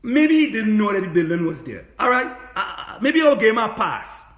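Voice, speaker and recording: A man's voice: high-pitched, very animated, normal speed, a bit bright. A man with a Trinidadian accent delivering an impassioned, informal livestream monologue, emphatic and repetitive, full of rhetorical questions. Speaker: livestream host, political activist; business lecturer. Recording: echoey room, livestream.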